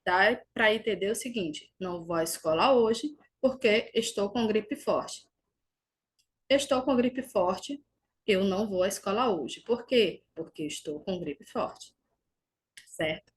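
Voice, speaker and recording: A woman's voice: mid-range voice, slow pace. A woman teacher, giving a recorded grammar lesson over slides and explaining step by step with small restarts.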